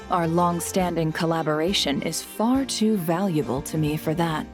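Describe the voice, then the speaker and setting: Woman as a composed, lowish-voiced guild leader. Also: lightly acted. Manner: measured, formal, courteous. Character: composed, diplomatic woman in charge of a trade guild. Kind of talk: video game dialogue acting. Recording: clear music behind.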